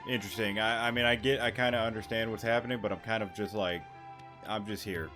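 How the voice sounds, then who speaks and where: A man's voice: fairly low pitch, steady tone, fast. A man doing casual, slangy, rambling commentary over a video game and reading dialogue options aloud, game music behind. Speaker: gaming streamer.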